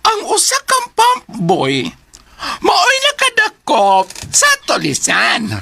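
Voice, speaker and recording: An excitable young Filipina office worker with a Bisaya accent, in radio drama style. Her voice is extremely high and swoops wildly, creaking at the edges as she draws out her exclamations. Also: slow pace, heavily acted. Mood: excited, breathless news.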